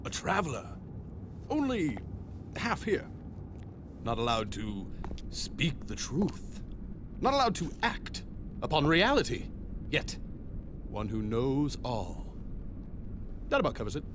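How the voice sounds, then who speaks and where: An actor playing a whimsical, all-knowing time traveler in a video game, with florid, theatrical, drawn-out phrasing. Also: male voice, medium pitch, wildly swooping pitch, noisy background. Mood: mysterious, musing. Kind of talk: theatrical game cutscene acting.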